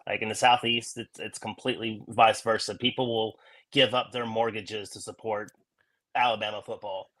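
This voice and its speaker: A man co-hosting a podcast in casual conversation, measured, repeating words as he thinks. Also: lowish voice, flat delivery, a bit bright, dry recording. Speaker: podcast co-host. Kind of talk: casual chat.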